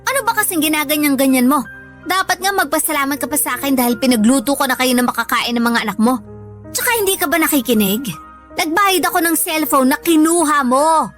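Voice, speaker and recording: A woman's voice: sits high, animated, a little fast, fairly bright tone, faint music behind. A woman with a Filipino accent playing a long-suffering, reproachful older mother in a radio drama. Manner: plaintive scolding.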